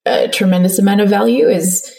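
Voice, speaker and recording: A woman's voice: medium pitch, fairly even intonation, a little fast, gravelly, dark tone. A woman speaking as a podcast guest on empathy, reflective and casual, often hedging with small fillers. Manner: reflective, hedges with "like" and "you know". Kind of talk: casual interview chat. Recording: slightly distant mic.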